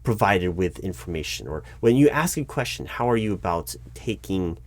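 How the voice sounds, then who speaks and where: A man playing a patient, probing hiring manager in a one-sided interview roleplay, speaking aloud in a halting, improvised way and repeating words. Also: low voice, animated, no echo. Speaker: job interviewer. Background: computer keyboard typing.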